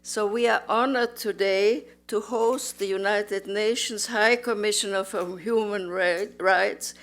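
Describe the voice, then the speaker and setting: A woman in her nineties, born in Austria, giving a slow, measured, formal speech as a museum volunteer in a museum auditorium. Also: mid-range voice, steady tone, a bit bright. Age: 90s. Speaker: museum volunteer and Holocaust survivor. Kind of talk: prepared public speech.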